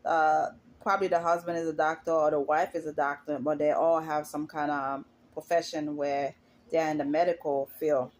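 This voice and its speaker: A woman from Haiti, giving her opinions on reality TV in a casual, chatty vlog and climbing in pitch when she gets indignant. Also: flat delivery, normal speed, darkish tone. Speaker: YouTuber commenting on reality TV.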